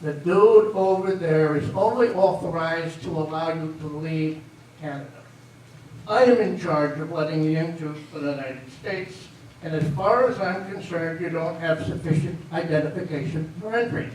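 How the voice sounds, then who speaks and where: A man on stage playing a gruff, weary and officious border guard in an amateur readers theater. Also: a little high, moderate pace, darkish tone, close to an everyday voice. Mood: stern, officious. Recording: echoey hall from far off, faint noise.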